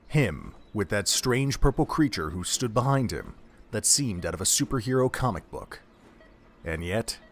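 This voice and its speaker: A man reading scripted third-person narration as the storyteller of an audio drama. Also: fairly low pitch.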